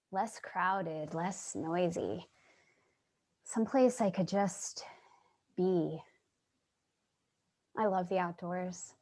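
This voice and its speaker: A woman's voice: fairly low pitch, darkish tone. A woman playing a wistful, dreamy homeless woman in a staged play reading, earnest and lingering over her phrases. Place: remote reading over video call.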